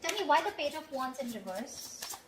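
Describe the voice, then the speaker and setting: A woman's voice: high-pitched, lively intonation, normal speed. A woman giving an improvised tarot reading, talking directly to the listener in a conversational way and often checking in. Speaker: tarot reader. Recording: echoey room with faint background noise.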